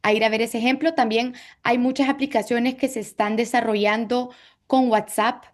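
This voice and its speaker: A woman's voice: on the high side, steady tone, moderate pace. A woman speaking as a book co-author on an online panel, explaining examples with occasional fillers. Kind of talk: panel answer.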